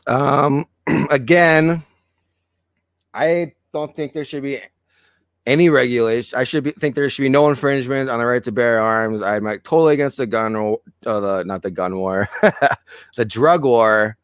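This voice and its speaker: A man co-hosting a casual podcast, reading items out and then passing blunt verdicts. He keeps clearing a hoarse throat. His mid-pitched voice sits close and dry, with no room sound. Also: moderate pace, no echo. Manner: reads news items aloud, clears throat often, then gives blunt opinions. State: losing his voice. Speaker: podcast co-host. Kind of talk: casual political podcast chat.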